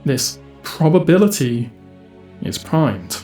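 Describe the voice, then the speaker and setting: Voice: mid-range voice, very animated, slow, dark tone. A performer voicing an ancient cosmic planetary intelligence, cryptic and solemn, speaking in portentous broken phrases for a science-fiction audio drama. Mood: urgent, solemn. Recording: echoing voice effect over music.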